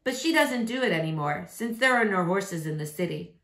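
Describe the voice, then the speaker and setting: A woman reading a novel in verse aloud in an expressive storytelling style. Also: mid-range voice, moderate pace. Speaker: read-aloud reader.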